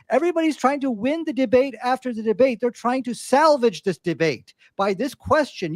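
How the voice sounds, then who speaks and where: A man's voice: sits high, no room sound, studio quality. A man answering in a live debate Q&A in a dismissive way, chuckling as he talks.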